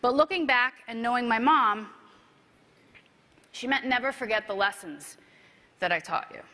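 Female motivational speaker, 30s, fairly high and bright, rehearsed keynote storytelling in a reverberant hall. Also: normal speed. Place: convention stage, indoors. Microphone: clip-on lapel mic. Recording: echoey large hall.

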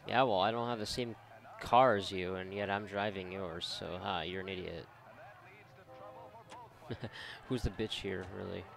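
A man's voice: deep voice, steady tone, unhurried, vocal fry, long pauses, crowd noise. A man giving casual let's play commentary, joking, rambling and swearing freely. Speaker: gaming video host.

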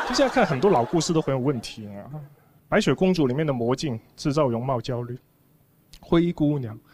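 A man in his thirties does stand-up on a studio stage. His voice sits a little high, and he spins his stories conversationally with mock outrage. He speaks into a handheld microphone, with plainly audible noise from the audience behind him. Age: 30s. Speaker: stand-up comedian. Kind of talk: stand-up comedy monologue to an audience.

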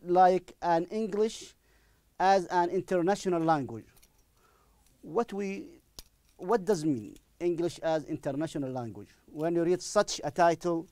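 A middle-aged man with an Arabic accent, a native Arabic speaker, teaching an English lesson deliberately and repeating phrases for emphasis. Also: fairly high pitch, a little mellow, no echo. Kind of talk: recorded classroom-style lesson. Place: indoor studio in front of a presentation screen. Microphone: lapel microphone.

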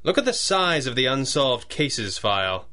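An actor playing a brooding, wealthy masked crime-fighter in a 1930s-style radio adventure, deliberate and articulate. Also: male voice, mid-range voice, sing-song, pushed and bright. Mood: grave, analytical.

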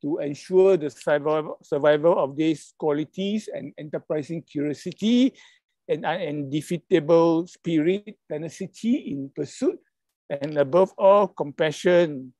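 A middle-aged man from Malaysia giving an online conference talk over a video call, halting and hesitant, with many fillers and apologies. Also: fairly high pitch, warm tone, no room sound. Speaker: conference speaker. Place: indoors at a computer.

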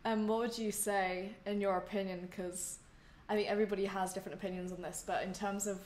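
A woman's voice: mid-range voice, level tone, a little fast, darkish tone, a little hiss or hum. A young woman hosting an interview over a video call, asking long, rambling questions. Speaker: host. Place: room at home. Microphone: headphones on video call.

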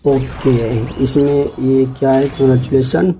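A man's voice: mid-range voice, level tone, slow, mellow, slight background noise, poor audio. A man with an Indian accent giving casual, chatty gameplay commentary as a video host, often addressing and repeating himself to viewers. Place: voice-over on a screen recording.

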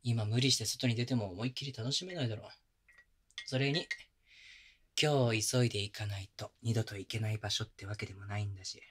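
A man playing a gentle, doting young boyfriend in an intimate ASMR audio drama, speaking casually with tender, coaxing chiding. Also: on the low side, a little fast, husky. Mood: gently firm. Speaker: voice actor. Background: music.

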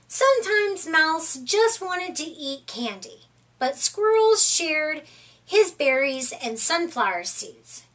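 A middle-aged woman reading a children's picture book aloud in an expressive, deliberate storytelling manner. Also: sits high. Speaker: storytime reader. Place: small room at home, indoors. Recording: slightly distant microphone.

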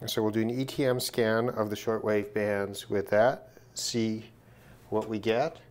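A man's voice: lowish voice, fairly even intonation, a little slow, darkish tone. A man presenting an unscripted hands-on demonstration in a casual, matter-of-fact way, thinking aloud as he goes. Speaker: presenter of a radio gear test video. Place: indoors at a work desk.

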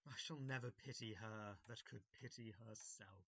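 An actor as forceful, blustering, gullible gentleman. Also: mid-range voice, normal speed, husky. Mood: aggrieved. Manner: blustering, theatrical. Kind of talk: amateur audio drama of an early modern comedy.

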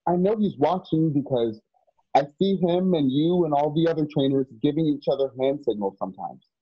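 An earnest young man from a radio drama, acted by a man, speaking in a fairly high, husky voice. He is anxious and hesitant, tripping over and repeating words. The sound is dry and a little dull, like a video call. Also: lively intonation, fairly quick, no echo. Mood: curious, tentative. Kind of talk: online radio drama acting. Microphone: video call, home setup.

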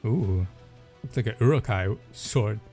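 A man's voice: on the low side, a little slow, warm tone, soft background music. A man giving casual live reaction commentary as a gaming video creator, thinking aloud informally as he reads along. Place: room at home.

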